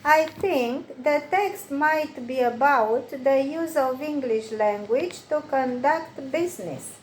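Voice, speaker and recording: High-voiced woman reading slowly. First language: Romanian. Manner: slow, careful reading with deliberate phrasing. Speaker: English learner working through textbook exercises. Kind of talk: reading exercises aloud and answering them. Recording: roomy echo with faint background hiss.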